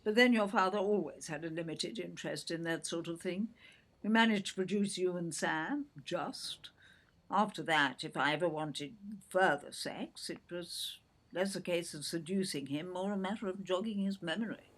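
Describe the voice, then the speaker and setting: A woman's voice: fairly low pitch, moderate pace, fairly bright tone. An actress playing an older English mother in an audio play, indignant and brusque, condescending as she defends her son. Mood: dry. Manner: clipped, brusque, condescending, calls listener "dear".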